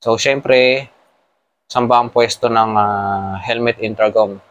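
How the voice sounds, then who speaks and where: A man's voice: medium pitch, fairly even intonation, slow, fairly bright tone. A man with a Filipino accent giving a casual hands-on tutorial as a motovlogger, explaining step by step. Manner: explanatory, mixing Tagalog with English terms.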